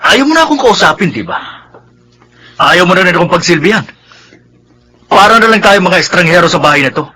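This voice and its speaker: Defensive husband, sharp highish voice. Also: male voice, big pitch swings, brisk, pauses often, a little hiss or hum, heavily acted. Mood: heated, resentful. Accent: Filipino. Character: defensive, selfish philandering young husband. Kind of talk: Filipino radio drama acting. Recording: music bed under the dialogue. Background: music.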